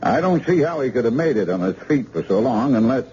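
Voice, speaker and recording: An actor playing a brisk, matter-of-fact surgeon in an old-time radio drama. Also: male voice, medium pitch, expressive, dark tone, natural voice. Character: calm male surgeon, brisk and assured. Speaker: doctor. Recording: hissy, muffled old radio recording.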